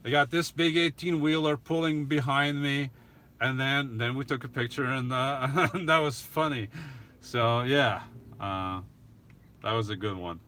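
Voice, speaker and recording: A man's voice: mid-range voice, a little slow, pushed and bright. A middle-aged man with a foreign accent, chatting casually with his live-stream viewers and often restarting his sentences with small laughs. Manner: rambling, chuckling, many restarts. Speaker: YouTube channel host answering live chat.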